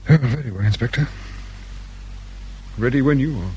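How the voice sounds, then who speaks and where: A man's voice: medium pitch, moderate pace, a little mellow, close to an everyday voice. An actor playing a distraught English father of a kidnapped boy in a radio drama. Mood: subdued grief. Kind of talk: naturalistic British radio drama acting. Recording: background noise and room ambience.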